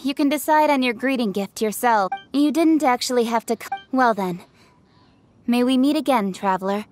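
Casting: woman playing brisk young noble official, fairly high voice, frank, formal, self-assured fantasy game character. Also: darkish tone, soft background music, natural voice. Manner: assertive, blunt, formal.

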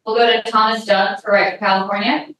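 A woman's voice: mid-range voice, little pitch movement, fast, fairly bright tone, far from the mic. Female moderator, very echoey and off-mic with faint noise, briefly calling on reporters. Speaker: press conference moderator. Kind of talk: brief announcements of the next questioner.

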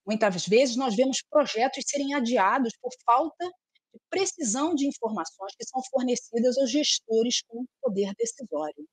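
A woman in her forties giving an online talk over a video call with a headset, speaking in a measured, explanatory, slightly formal way. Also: high voice, lively intonation, breathy edge, no echo. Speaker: speaker from a software firm. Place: room at home.

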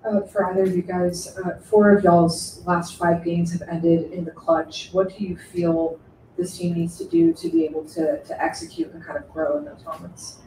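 A woman's voice: lowish voice, expressive, normal speed, dark tone. A woman reporter asking players questions at a press conference, a little hesitant, saying "y'all". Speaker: sports reporter. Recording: echoey room, distant mic, faint hum.

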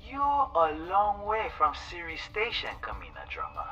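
A man's voice: on the high side, huge pitch swings, measured pace, pushed and bright, distant mic, lo-fi sound, soft background music, heavily acted. An actor playing a smug, menacing male pirate captain in a game, taunting theatrically over a radio transmission. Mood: threatening. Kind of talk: dramatic game voice acting.

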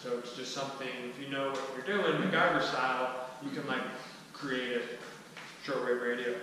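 A man in his thirties gives an informal talk to a small group, casual and halting as he thinks aloud. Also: medium pitch, steady tone, bright, forceful tone. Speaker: presenter at a skillshare. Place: large wooden-floored hall, indoors. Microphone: distant camera microphone. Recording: echoey hall from far off, faint noise.

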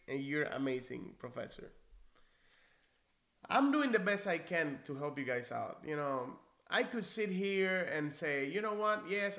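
Man, 40s, from Cuba, encouraging instructor on a live stream, bright upper-middle voice, echoey small office. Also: fairly high pitch. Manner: friendly, encouraging, conversational with restarts. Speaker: NCLEX prep instructor. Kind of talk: live-stream Q&A, reading viewer comments. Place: small indoor office, seated in leather chair.

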